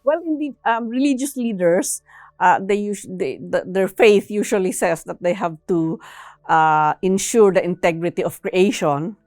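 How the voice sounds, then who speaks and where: A woman giving explanatory interview answers in a measured, halting way, sometimes tagging phrases with a questioning "no". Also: fairly low pitch, a little mellow, dry recording, clean studio sound, faint music behind.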